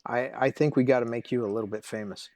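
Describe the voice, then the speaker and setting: A man hosting a casual interview chat, speaking in a halting, bantering way. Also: medium pitch, steady tone, a little mellow, dry recording. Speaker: interviewer, show host.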